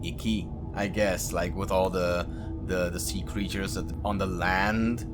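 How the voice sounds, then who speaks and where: A man hosting a gaming video, giving informal, expressive reactions and casual gameplay commentary. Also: low voice, a little slow. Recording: music behind the voice.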